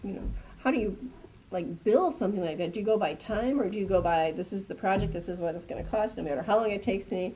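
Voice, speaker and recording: A woman's voice: mid-range voice, quick pace, warm tone. A woman interviewer chatting casually and reacting conversationally to what she is told. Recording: distant mic, faint room noise.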